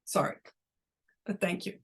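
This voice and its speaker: A woman whose first language is Dutch, speaking deliberately and a little slowly as an invited academic answering questions on a video call. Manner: deliberate, slightly slowed and exaggerated. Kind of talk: online academic talk, Q&A.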